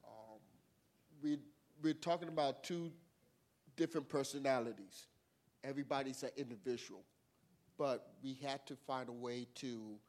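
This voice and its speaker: A man tells his side of a marriage story before a church crowd. He speaks haltingly, repeating words and restarting as he hunts for the right way to say things. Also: mid-range voice. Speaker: ministry leader sharing testimony.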